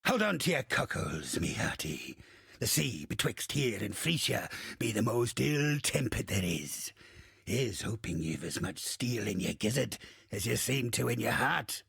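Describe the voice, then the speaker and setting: Gravelly, raspy old undead pirate captain. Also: male voice, mid-range voice, sing-song, measured pace, fairly bright tone, no room sound, clean studio sound, heavily acted. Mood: hearty, boastful. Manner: archaic pirate dialect, swaggering, theatrical, cursing. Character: gruff, salty old undead male pirate captain, crude and boastful. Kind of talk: video game character acting.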